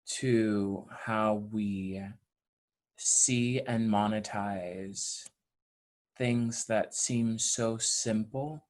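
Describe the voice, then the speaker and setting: Earnest man speaking slowly and deliberately. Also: lowish voice, darkish tone. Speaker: stage actor in an audio play. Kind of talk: reflective interview talk.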